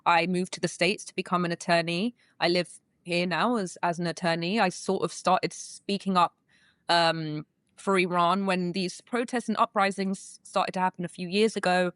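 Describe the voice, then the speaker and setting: A woman's voice: lowish voice, steady tone, normal speed, a bit bright, no echo, clean studio sound. A woman, a guest attorney answering interview questions earnestly. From England. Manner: earnest, with restarts.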